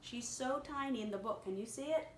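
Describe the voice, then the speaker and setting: A woman reading a picture story aloud to a young child, pausing to explain words simply and prompt repetition. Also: on the high side. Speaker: children's story reader and teacher. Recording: echoey room with clear background noise.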